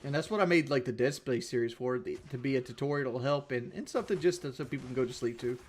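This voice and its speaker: A man's voice: mid-range voice, fast. A man chatting casually on a livestream, rambling and blunt, swearing freely and repeating himself as he talks to his viewers. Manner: repeats himself and restarts often.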